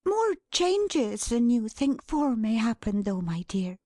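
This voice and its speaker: A woman voicing an excitable, affectionate, flustered young wife in a storybook reading, breathless and emphatic. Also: a little high, slow, no echo, clean studio sound, pitched above the performer's own voice, exaggerated voice. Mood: gently anxious. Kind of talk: dramatised classic storybook reading.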